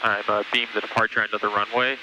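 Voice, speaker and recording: Fast-talking male pilot over cockpit noise. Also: on the low side, level tone. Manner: clipped phraseology. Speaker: private pilot. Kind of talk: radio position calls and readbacks to air traffic control. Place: small airplane cockpit. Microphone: aviation headset. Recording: noisy cockpit radio with engine drone.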